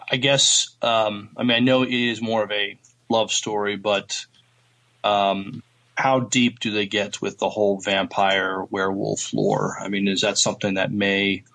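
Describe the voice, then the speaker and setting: A male podcast co-host with a low voice and very little melody. He phrases things haltingly and casually, as he feels his way through a question. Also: gravelly, fairly bright tone, low-quality recording.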